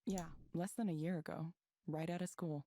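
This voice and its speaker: An actress playing a reserved, thoughtful software engineer, speaking in a measured, understated way in a visual novel game. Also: female voice, lowish voice, steady tone, dry recording, natural voice. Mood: calm reflective. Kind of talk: naturalistic visual novel voice acting.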